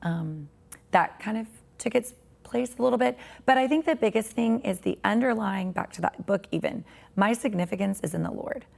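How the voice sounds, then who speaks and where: A woman in her forties, a podcast guest with a raspy, tired voice, talking earnestly and reflectively about faith. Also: medium pitch, moderate pace, a little mellow. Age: 40s.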